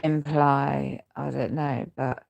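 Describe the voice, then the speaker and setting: A woman speaks in a very low, crackly voice, thick with a chest infection. Her delivery is slow and halting, short of breath, as if talking is an effort. She rambles through an aggrieved personal account in broken phrases. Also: little pitch movement, gravelly, darkish tone. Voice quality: crackly, deep voice. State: ill with a lung infection, breathless, struggling to speak. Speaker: housing tenant speaking out. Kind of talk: rambling personal video testimony.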